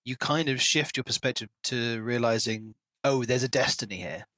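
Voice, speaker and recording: Bright-voiced man in casual book talk. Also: mid-range voice, moderate pace, no room sound. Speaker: podcast co-host.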